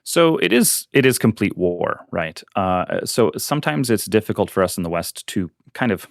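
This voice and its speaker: A man's voice: low-pitched, big pitch swings, moderate pace, vocal fry, dry recording, studio quality. A man who grew up speaking Ukrainian, explaining a volunteer project in casual interview talk, with many fillers and restarts. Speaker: guest, volunteer on a missing-persons website.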